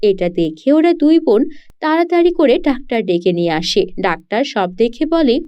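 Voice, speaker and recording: A woman storyteller reads a scripted cartoon tale. Her voice is high and soft-edged, on a slightly muffled recording. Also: very animated, normal speed, clear, resonant tone. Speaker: storyteller, narrator.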